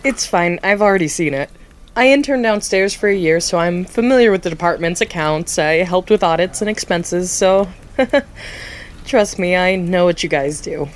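A woman's voice: lowish voice, normal speed, slight background noise, lightly acted. An actress playing an eager young woman new to the job, a bit awkward with her colleagues. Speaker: new facilitator Meredith.

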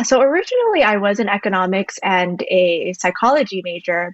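A woman's voice: mid-range voice, fairly bright tone, dry recording. Earnest young woman from Indonesia. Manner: thoughtful, earnest, explains at length. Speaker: guest. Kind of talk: podcast interview. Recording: dull lo-fi dry recording.

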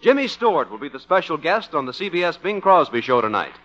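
A man's voice: a little high, sing-song, quick pace, a bit bright. A man announcing for a network show in 1950s radio style. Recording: muffled old radio recording.

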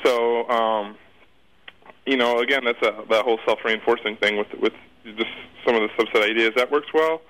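A man's voice: mid-range voice, steady tone, normal speed, fairly bright tone, rough recording. A man giving casual interview answers as a guest, informal and rambling in the way he talks.

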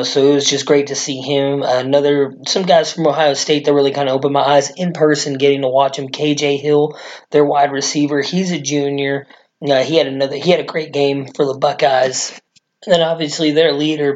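Guy with a shot hoarse voice doing his sports podcast, kinda monotone, muffled mic. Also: mid-range voice. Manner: excited, rambling fan talk. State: hoarse from screaming. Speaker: podcast host. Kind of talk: casual sports podcast talk.

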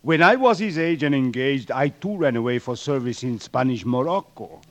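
An actor playing a courteous, sentimental older Spanish prison governor, hesitant and bumbling, in 1940s radio style. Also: male voice, mid-range voice, animated, moderate pace, dull audio, lightly acted. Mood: sympathetic. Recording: faintly noisy old radio recording.

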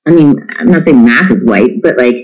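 A man's voice: medium pitch, animated, fairly quick, low-quality recording. A middle-aged man, chatting casually as an interview guest in a candid, irreverent, self-deprecating way. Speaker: interview guest, homeschooling parent. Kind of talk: casual chat.

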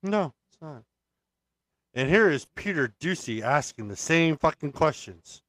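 A man's voice: a little high, expressive, a little slow, a bit bright, no echo, studio quality. A man co-hosting a casual political podcast, cutting in with indignant, profane remarks. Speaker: podcast co-host.

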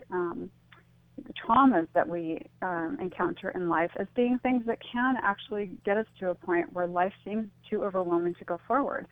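A woman giving reflective, tentative interview answers as a guest, explaining things through anecdotes and analogies. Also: mid-range voice. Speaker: interview guest, author and speaker on suicide loss. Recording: tinny phone line, echoey.

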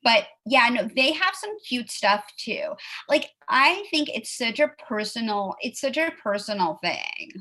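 A woman in her thirties hosts a relaxed chat, filling her talk with "like" and quick asides. Her voice is bright and forward. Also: a little high. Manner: chatty, peppered with "like", quick asides and laughs. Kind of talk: casual chat.

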